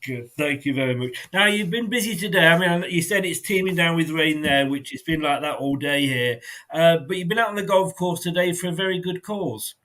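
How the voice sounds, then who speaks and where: A man with a fairly high voice hosts an interview in a light, joking way. He laughs easily and keeps the talk upbeat while introducing his guest. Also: lively intonation, a little fast, bright, forceful tone, rough recording. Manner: jokey and upbeat, laughs often. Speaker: host. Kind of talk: casual interview.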